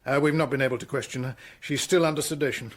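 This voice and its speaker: An actor playing an earnest, deferential French police inspector in a radio drama, formal and methodical in speech. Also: male voice, mid-range voice, fairly even intonation, fast talker, lightly acted. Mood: grave report. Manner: formal, methodical, reporting carefully.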